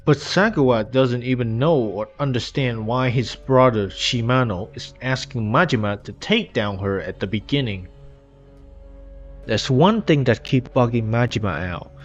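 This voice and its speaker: A man's voice: mid-range voice, animated, a little slow, lo-fi sound, faint music behind. A man reading scripted retrospective narration in slightly non-native English, recorded on an inexpensive home microphone. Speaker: video essay narrator.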